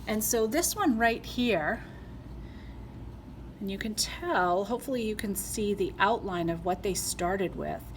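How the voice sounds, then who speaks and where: Middle-aged teacher lady walking kids thru an art project, gentle and patient, lots of ums, clear noise humming behind her. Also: mid-range voice, animated, a little slow. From Rhode Island, USA. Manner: gentle, step-by-step explaining with frequent ums. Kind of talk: online art lesson.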